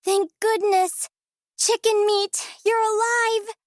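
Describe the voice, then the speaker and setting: A woman voicing an earnest, excitable, naive little girl helper in a video game. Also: extremely high pitch, takes it slowly, no room sound, clean studio sound. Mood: anxious, relieved. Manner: childlike, earnest.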